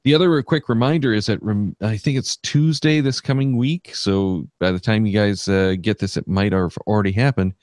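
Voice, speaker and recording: Male podcast host, low-pitched, casual and rambling, on a dry close mic. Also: normal speed, few pauses, a little mellow, no room sound. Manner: chatty, many fillers and restarts.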